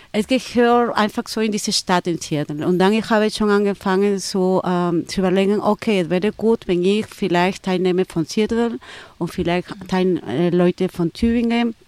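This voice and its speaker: Woman speaking halting German. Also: medium pitch, darkish tone, dry recording. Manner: halting non-native German with many fillers and restarts. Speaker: photographer and event organizer. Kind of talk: interview answers.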